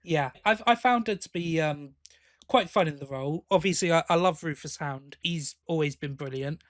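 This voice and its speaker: A man's voice: fairly high pitch, moderate pace, fairly bright tone, no room sound, clean studio sound. A man co-hosting a podcast, talking in a casual, chatty and enthusiastic way. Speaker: podcast co-host.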